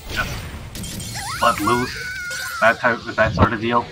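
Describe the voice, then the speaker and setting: A man's voice: mid-range voice, wildly swooping pitch, extremely slow, music in the background. A young man in casual livestream chat, self-deprecating and given to swearing.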